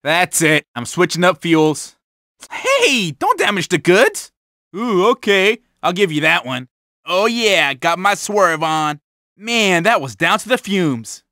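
A cocky, animated young street-racer car. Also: male voice, sits high, slow, no echo, studio quality, exaggerated voice. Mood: indignant, startled. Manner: slangy, boastful, exclamatory. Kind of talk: video game character barks.

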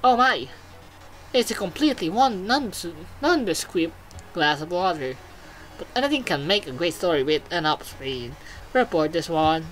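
A woman's voice: fairly low pitch, big pitch swings, moderate pace, faint music behind, rough recording. A woman voicing a vain, gushing showbiz robot who presents TV news in a video game, with theatrical announcer phrasing. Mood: vain, coy.

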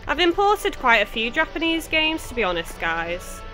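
A woman's voice: sits high, sing-song, normal speed, music in the background. A young woman from near Chester in England, chatting casually with viewers while streaming a game. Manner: chatty, laughs easily, answers chat. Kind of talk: casual chat with live chat viewers.

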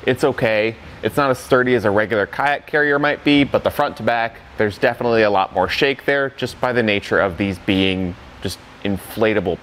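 A man's voice: fairly low pitch, a bit bright, a little hiss or hum. A young man plainly walking through a product demo as reviewer, candid about its weak points, in an indoor studio bay. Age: young adult. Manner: plain-spoken, candid, walks through details.

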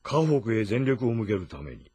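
Casting: stern, deliberate warrior general for a historical game drama; low, clean and lively in inflection. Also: male voice, husky, dry recording. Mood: measured, sober. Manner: deliberate, stern, martial, old-fashioned diction.

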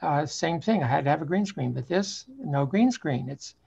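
An older man talking casually in a halting, explanatory way over a video call from a home office. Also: a little high, lively intonation, vocal fry, a little mellow, a little hiss or hum. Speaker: amateur actor new to the stage. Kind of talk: casual reflective talk. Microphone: computer on a video call. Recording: dull lo-fi video call audio.

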